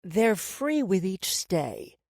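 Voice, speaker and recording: A woman voicing a calm, cruel woman who toys with her captives, speaking softly and with quiet menace. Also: medium pitch, takes it slowly, dry recording, clean studio sound, heavily acted. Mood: mock innocent. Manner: soft, coolly menacing.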